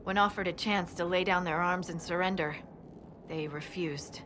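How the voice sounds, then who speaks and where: Actress as a low-voiced, sober military scout. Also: female voice, steady tone, normal speed, fairly bright tone, a little hiss or hum, lightly acted. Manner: crisp, formal, sober briefing. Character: serious female army scout briefing her superior. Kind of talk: dramatic video game dialogue.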